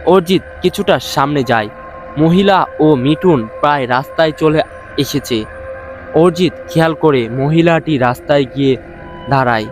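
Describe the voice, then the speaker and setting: Halting male storyteller, higher-pitched voice. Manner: halting, often repeats words. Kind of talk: scripted horror story narration. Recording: music behind the voice. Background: music.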